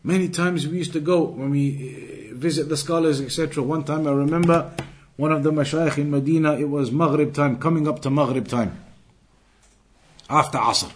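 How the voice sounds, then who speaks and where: British male, Islamic teacher, slightly high-pitched voice, religious lecture, emphatic repetition, everyday analogies. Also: steady tone, normal speed. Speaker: Islamic teacher giving a lesson.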